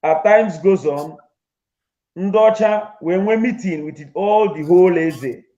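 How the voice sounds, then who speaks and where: Nigerian community leader, mellow, slightly higher voice, dull lo-fi sound, storytelling interview. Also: male voice, unhurried. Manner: mixes Igbo and English, recounting history. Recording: dull lo-fi recording.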